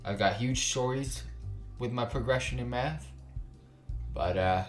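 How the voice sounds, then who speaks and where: A man's voice: mid-range voice, a little slow, faint music behind. A man hosting a gaming video, reading a visual novel aloud and adding casual, slangy commentary. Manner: informal, reacts with slangy asides. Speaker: gaming channel host.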